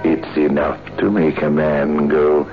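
A man's voice: extremely low pitch, monotone. An actor playing a sinister, darkly amused horror host on 1960s radio, drawing out his words with macabre relish. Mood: macabre amusement. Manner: slow, drawn-out, macabre relish. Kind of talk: 1960s old-time radio horror hosting. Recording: dull 1960s radio recording, music behind.

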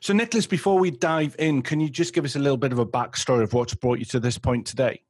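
A man's voice: mid-range voice, quick pace, slightly rough. A man hosting a podcast, reading a polished, upbeat intro and then interviewing a guest. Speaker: podcast host and performance coach.

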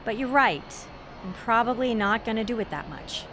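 An actress playing a sheltered young college student in an audio drama, wry, guarded and self-deprecating, in a naturalistic style. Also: female voice, on the high side, animated, moderate pace, a bit bright, slight background noise. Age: young adult.

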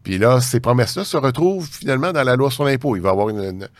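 A man's voice: medium pitch, big pitch swings, quick pace, dry recording. A man with a Canadian French accent explaining things off the cuff as an interview guest, often circling back mid-sentence. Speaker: tax ombudsman interviewed as guest. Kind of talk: unscripted explanatory interview talk.

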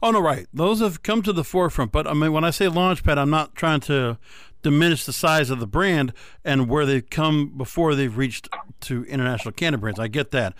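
Smooth-voiced male podcast host, dry close sound. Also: fairly high pitch, brisk, a bit bright, no room sound. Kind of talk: conversational interview hosting.

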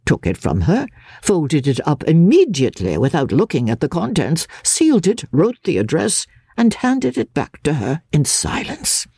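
Woman, dramatic literary narration, deliberate delivery, wide-ranging intonation, husky tone. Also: rumbling low, dark tone, dry recording. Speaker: audiobook narrator.

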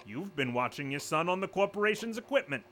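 A bright, oily corporate boss. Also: male voice, on the high side, big pitch swings, a little fast, faint music behind. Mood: smooth menace. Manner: falsely polite, quietly threatening. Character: smooth, menacing male corporate official. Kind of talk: audio drama acting.

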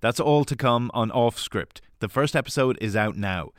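A man with a medium-pitched voice reads a scripted podcast announcement as the host. The sound is very dry, with no room echo. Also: moderate pace.

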